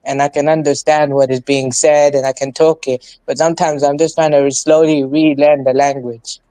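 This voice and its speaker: A young man with an East London accent and Urdu as his first language, chatting quickly and casually as a guest on a call-in stream. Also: a little high, level tone, mellow, smooth, dry recording. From England. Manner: fast-talking, tags sentences with "though". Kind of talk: casual chat.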